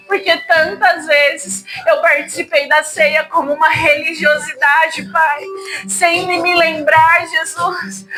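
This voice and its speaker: A woman prays aloud in a very high voice, slow and tearful, pleading with fervent emotion. Guitar music plays clearly behind her. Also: rough recording. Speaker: prayer leader. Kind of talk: emotional spoken prayer over guitar. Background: soft guitar music.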